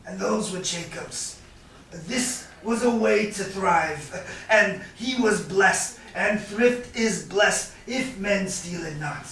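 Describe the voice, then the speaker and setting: A man acting a bitter, sly moneylender in a Shakespearean staged reading, with a hesitant, drawn-out, chuckling delivery. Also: high voice, lively intonation, fairly bright tone, exaggerated voice. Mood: sly, amused. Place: live staged reading in a reverberant room. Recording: echoey room, distant mic, faint noise.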